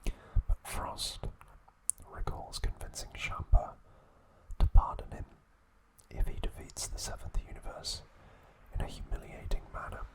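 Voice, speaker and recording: A man hosting ASMR, whispering a recap in short, hushed phrases with pauses between them. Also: low-quality recording. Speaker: ASMR video host.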